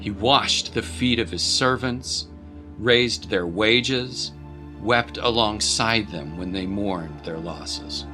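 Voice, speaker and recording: A man's voice: on the low side, slow pace, bright, forceful tone, faint music behind. A man narrating a scripted dramatic story as a voice-over.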